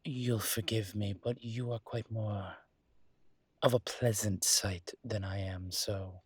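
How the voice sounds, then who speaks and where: A man playing a suave, flirtatious demon professor in an intimate audio roleplay, speaking in a courtly, teasing way with formal wording and hesitant pauses. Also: fairly low pitch, steady tone, slightly rough, no echo. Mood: flustered, charming.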